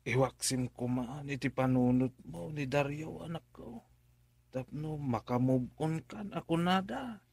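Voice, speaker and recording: A man playing a middle-aged father who gently advises, in a radio drama. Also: a little high, measured pace, gravelly, husky, no room sound, heavily acted. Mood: concerned, advising. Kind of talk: Filipino radio drama acting.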